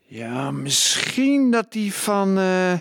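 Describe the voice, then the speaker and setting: A man playing a gossipy wartime village farmer in a Dutch audio drama, talking in an animated, conspiratorial way. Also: high voice, drawn-out words, clear, resonant tone, no echo, clean studio sound, heavily acted. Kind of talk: scripted radio drama dialogue.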